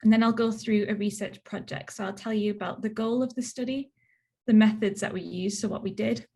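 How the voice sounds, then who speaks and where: A woman's voice: mid-range voice, fairly even intonation, fairly quick, vocal fry, darkish tone, slightly rough. A young woman from northeast England with a Northeast English accent, giving a research talk over a video call, chatty and plain-spoken. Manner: chatty, plain explanations with frequent "so" and "um".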